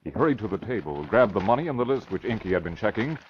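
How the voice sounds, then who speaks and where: A man's voice: fairly low pitch, big pitch swings, fast, a little hiss or hum. A man narrating an old-time radio crime drama in scripted style. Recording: dull vintage radio recording with music. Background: dramatic music.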